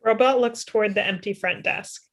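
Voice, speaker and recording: A woman's voice: medium pitch, a little fast. A woman in her twenties reading stage directions matter-of-factly at a table read over a video call. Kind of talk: scripted narration at a table read. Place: indoor room at home.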